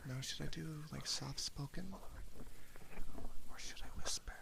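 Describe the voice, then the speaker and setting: A woman streaming ASMR, chatting casually in a hushed, intimate voice that moves between soft speech and whispering, close on a binaural microphone. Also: a bass voice, fairly even intonation, measured pace. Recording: close binaural mic with clear background noise.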